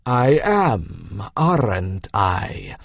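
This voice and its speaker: A man voicing an earnest, sheepish young griffin in an audiobook, speaking in a slow, halting and formal manner. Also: fairly low pitch, very animated, vocal fry, no echo, voice pushed down, exaggerated voice. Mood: pleased, proud. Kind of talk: audiobook character voice.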